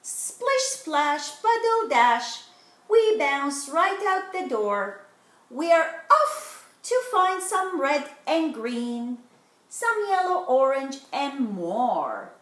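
A woman's voice: sits high, sing-song, drawn-out words, a bit bright. A middle-aged woman reading a children's picture book aloud, stretching out rhyming words expressively. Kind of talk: animated children's read-aloud. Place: living room at home, indoors. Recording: roomy home recording.

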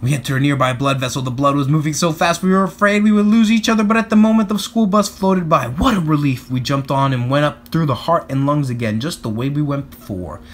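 A man reading a children's picture book aloud in an animated, expressive way. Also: a little high, brisk, hardly any pauses. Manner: expressive, acts out captions and speech bubbles.

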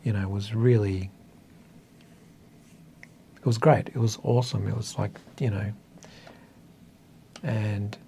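A man's voice: on the low side, steady tone, slow pace, creaky, pauses often, dark tone, slight background noise. An Australian man in his fifties in a casual, reflective chat, speaking hesitantly and thoughtfully, with a self-deprecating way about him. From northern coastal New South Wales, Australia. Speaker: interview guest, author. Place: small indoor meeting room.

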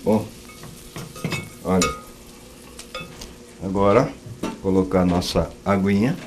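A man's voice: low voice, slow pace. A middle-aged man hosts a cooking show and chats through each step as he cooks on a TV studio kitchen set. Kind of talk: casual chat while cooking.

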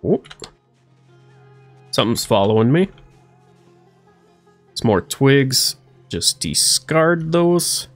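Slow, easygoing man narrating his gameplay. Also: mid-range voice, lively intonation, faint music behind. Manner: goofy, talks to himself, playful silly voices. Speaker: let's play streamer. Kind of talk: casual gameplay commentary.

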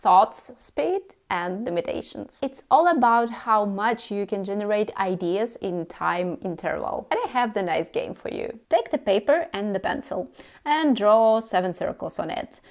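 A woman in her thirties speaks with a Russian accent, her first language Russian showing through her English. She explains tips straight to the camera in an animated way, hands moving as she talks, on a lapel mic in an indoor room. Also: mid-range voice, a little slow. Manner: animated, gesturing with both hands. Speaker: dance teacher. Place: indoor room before a carved screen.

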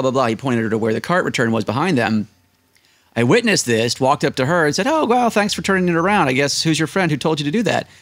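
Casual podcast guest: American male, thirties, mid-pitched voice, storyteller, dry desk-mic sound. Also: fairly bright tone, no echo. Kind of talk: casual interview anecdotes. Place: small indoor room, seated at desk. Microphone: close desk microphone on video call.

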